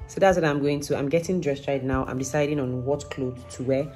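A woman's voice: extremely low pitch, fairly even intonation, fairly quick, warm tone, soft background music. A young adult woman with a Nigerian accent, chatting casually and expressively to her travel vlog on a phone. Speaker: vlogger. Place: hotel rooms, indoors. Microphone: phone at arm's length.

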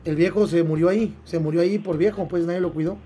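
A man's voice: high voice, steady tone, fast, dark tone, soft background music. A man in his twenties with a Mexican accent, talking casually in crude slang on a prank phone call. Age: 20s. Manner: crude, swearing slang-heavy talk. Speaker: prank caller, streamer. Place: small dark room at home, streaming setup.

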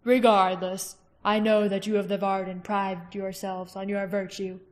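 Female narrator voicing a menacing, slow-talking male king for an audiobook, flat delivery. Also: mid-range voice, natural voice. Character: cruel smooth-talking male tyrant king. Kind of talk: audiobook character reading.

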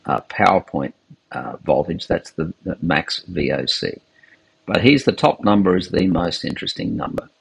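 A man's voice: low voice, gravelly, breathy edge, rough recording. A man walking through a boat's solar setup in a casual, technical way, with fillers and self-corrections. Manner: informal, explanatory, many fillers and self-corrections. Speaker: solar installer demonstrating a boat system. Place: on a sailing catamaran, outdoors and in lockers, later voice-over on screen recording.

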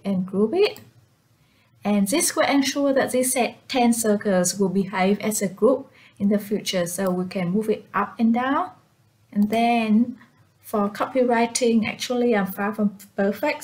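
A woman presents a software tutorial in a somewhat high voice. She is a native Vietnamese speaker and explains the task step by step.

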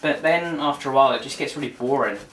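A man's voice: mid-range voice, fast talker, a bit bright, poor audio. A young man casually narrating a home cooking vlog in a playful, cheeky way. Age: young adult. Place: small home kitchen, indoors. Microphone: camera a short distance away. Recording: clear background noise, home camera microphone.

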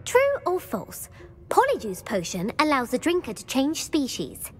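A bookish, eager young student. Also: female voice, high-pitched, wildly swooping pitch, a little slow, exaggerated voice. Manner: eager and lecturing, bursts of praise. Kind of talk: video game voice acting.

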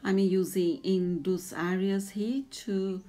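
A woman in her forties from Brazil, speaking English with a Brazilian Portuguese accent and talking softly and a little hesitantly as she explains a drawing tutorial. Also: medium pitch, steady tone, takes it slowly, faint music behind. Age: 40s. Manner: soft, hesitant, a little nervous. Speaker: art tutor.

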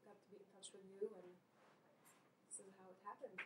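A woman's voice: medium pitch, flat delivery, a little fast, heavy background noise. A young woman, a PhD student telling her story in an earnest way, sometimes searching for words. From Lebanon. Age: young adult. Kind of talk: casual self-introduction. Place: conference room. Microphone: distant camera mic. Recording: roomy, distant mic.

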